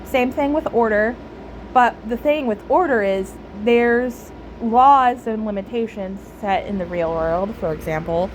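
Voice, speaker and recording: A woman giving casual, reflective game commentary, thinking aloud haltingly and often repeating words. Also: mid-range voice, a little hiss or hum, low-quality recording. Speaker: game streamer. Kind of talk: casual commentary while playing a story game.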